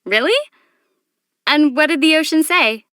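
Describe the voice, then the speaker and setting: Game heroine: young traveler, high-pitched, measured and patient with a gently dry wit. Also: female voice, expressive, no echo, heavily acted. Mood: gentle, curious. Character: young female adventurer, patient, thoughtful, dryly amused. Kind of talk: video game character voice acting.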